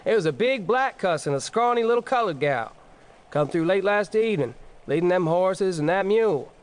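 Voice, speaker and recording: An actor playing a wry, hard-up frontier husband with a folksy rural Western American accent in a dramatized audiobook. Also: male voice, sits high, animated, moderate pace, clean tone. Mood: folksy, explaining. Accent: rural American West.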